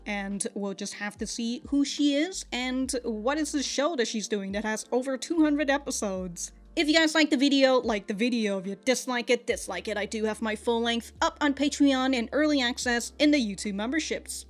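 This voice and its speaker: A woman hosting a reaction video, commenting casually in slangy, sweary language. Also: fairly high pitch.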